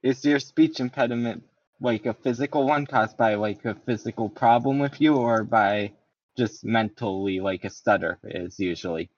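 A young man co-hosting a casual podcast chat with a deadpan, emotionless delivery. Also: lowish voice, normal speed, no echo. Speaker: podcast co-host. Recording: slightly dull lo-fi mic.

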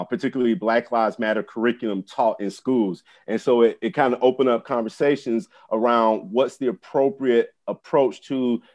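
A man co-hosting an education podcast, talking in a halting way with many fillers and restarts. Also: medium pitch, darkish tone. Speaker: podcast co-host.

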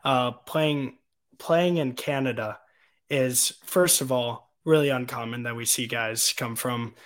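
A man's voice: mid-range voice, steady tone, slow pace. A man hosting a podcast, asking long, rambling questions with plenty of "um" and "uh" in a casual tone. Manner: rambling questions with filler words. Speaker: podcast host.

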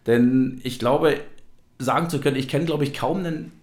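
A man hosts a relaxed football conversation in a roomy, echoing space. His voice sits at an average pitch. He rambles along as he reminisces. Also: moderate pace. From East Germany. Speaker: podcast host. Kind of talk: casual football podcast conversation. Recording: roomy, echoey sound.

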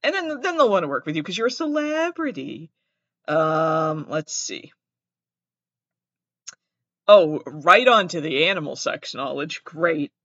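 A man's voice: fairly high pitch, sing-song, measured pace, long pauses, a bit bright, no echo. A man with a Massachusetts accent hosting a podcast alone, reading trivia aloud with rambling, self-interrupting jokey asides. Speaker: podcast host. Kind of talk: solo comedic trivia reading. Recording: dull, dry close-mic sound.

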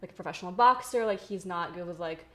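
Young adult woman guest, fairly low voice, rambling casual chat full of fillers. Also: fast talker. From Ukraine. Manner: chatty, filler-heavy storytelling with frequent likes.

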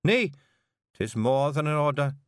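A man voicing a small, stern female pixie clan leader with a sharp, commanding Scottish voice. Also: fairly high pitch, animated, a little slow, no room sound, studio quality, pitched above the performer's own voice. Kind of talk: audiobook character voice.

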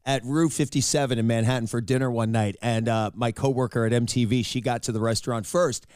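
Chatty man with a raspy cold voice. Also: mid-range voice, steady tone, a little fast, darkish tone, dry recording, clean studio sound. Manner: chatty, self-deprecating, laughs often. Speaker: host. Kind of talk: casual interview and narration.

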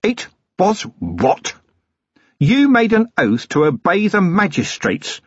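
Man acting a blunt, gruff police commander. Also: medium pitch, big pitch swings, moderate pace, no echo, lo-fi sound, pitched above the performer's own voice. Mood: urgent, blunt. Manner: forceful, sweary, lecturing.

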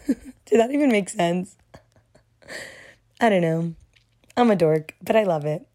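Young woman, hoarse voice, mellow dark tone, chatty and rambling, solo podcast host. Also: on the low side, expressive, takes it slowly. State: hoarse, losing her voice. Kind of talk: casual solo podcast talk.

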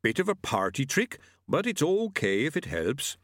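A man's voice: mid-range voice, huge pitch swings, moderate pace, a bit bright, no room sound, clean studio sound, voice pushed up. A man doing an easygoing big yeti. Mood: unbothered. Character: big placid, obliging male yeti.

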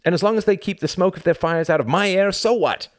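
Guy reading as grumpy worn-out english fella in an audiobook, brusque, lots of pitch movement. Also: very animated, moderate pace, no room sound, studio quality. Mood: bitter sarcasm. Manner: curt, brusque. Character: irritable, weary young Englishman, argumentative and reserved.

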